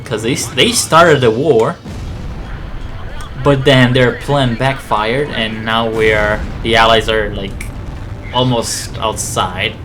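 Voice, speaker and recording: Man doing casual game commentary over music. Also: mid-range voice. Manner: rambling, conversational, thinking aloud. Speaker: video game playthrough commentator.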